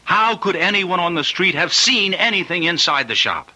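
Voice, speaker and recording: Worried stubborn cop husband in old radio play, very bright tense voice, swings up and down. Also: male voice, high voice, big pitch swings, moderate pace, heavily acted. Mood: urgent, insistent. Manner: emphatic, insistent, earnest. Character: anxious, stubborn policeman husband forbidding his wife to wish. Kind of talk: old-time radio drama acting.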